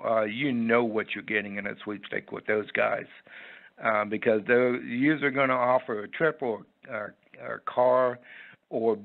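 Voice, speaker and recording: A man giving unscripted interview answers in a halting, rambling, folksy way over a phone line. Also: on the low side, creaky. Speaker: interview guest, website owner. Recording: muffled, tinny phone line.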